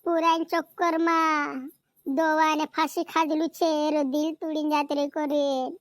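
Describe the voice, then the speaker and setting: Distraught cartoon villager played by a man, very high flat pitch, rural Madhya Pradesh accent, repetitive pleading. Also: unhurried, dry recording. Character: pleading, distraught rustic villager, friend of a patient. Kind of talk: amateur cartoon comedy acting.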